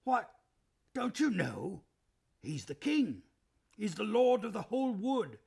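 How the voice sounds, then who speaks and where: A proud, kindly male beaver from a storybook, put on by a male reader. The voice is rough and strained, with a husky gravel to it. It rises high and swings widely whenever he insists on a point. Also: sing-song, slow pace, a little mellow, exaggerated voice. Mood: earnest, emphatic.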